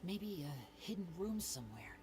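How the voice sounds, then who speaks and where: A man voicing a suave, confident young stage magician in a video game, with theatrical, smooth delivery. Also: sits high, very animated, moderate pace, darkish tone, heavily acted. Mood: composed, intrigued.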